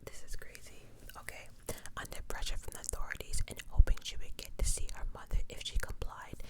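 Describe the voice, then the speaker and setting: A woman whispering softly and breathily as an ASMR host, reading aloud with informal, incredulous asides. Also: brisk. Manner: informal, incredulous commentary between read passages. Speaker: host of true crime ASMR channel.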